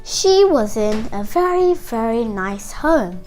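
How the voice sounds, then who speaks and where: A woman's voice: a little high, big pitch swings, slow, darkish tone, faint music behind. A young girl hosting a kids' show, retelling a fairytale to children in a chatty, singsong, dramatic way. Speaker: storytelling host of a kids' show.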